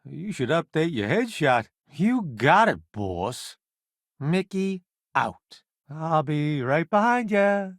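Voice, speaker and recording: A man's voice: on the high side, sing-song, slow pace, dry recording, clean studio sound, full character voice. A man playing a flamboyant, wisecracking celebrity food critic turned spaceship crewmate in a video game, glib, theatrical and sardonic. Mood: sardonic, teasing. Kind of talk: video game character voice acting.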